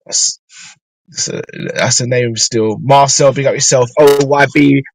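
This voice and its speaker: Croaky man streaming from his car. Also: mid-range voice, steady tone, unhurried, slight background noise, low-quality recording. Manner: chatty, thinks aloud with ums and restarts. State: slightly croaky after flu. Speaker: host of a fan show. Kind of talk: casual live-stream chat. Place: inside a parked car.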